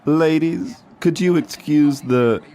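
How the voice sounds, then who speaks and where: A man's voice: a little high, takes it slowly, dark tone, a little hiss or hum. A man voicing a smug, seedy reindeer ex-con with a sly manner in a comedic noir audio drama. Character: seedy male reindeer ex-con, smug old criminal accomplice.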